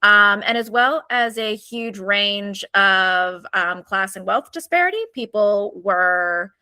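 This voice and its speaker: American lady candidate, ums a lot, bright voice, dry close sound. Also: medium pitch, slow, smooth, no echo. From United States. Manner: hesitant, many ums and uhs, earnest. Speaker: political candidate. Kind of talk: casual interview answers.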